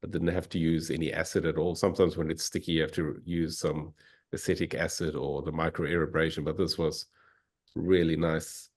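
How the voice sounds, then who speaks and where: A man's voice: extremely low pitch, level tone, moderate pace. A South African man, a fossil hunter, giving an easygoing, enthusiastic slide talk over a video call. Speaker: fossil hunter and guest speaker. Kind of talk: informal slide presentation.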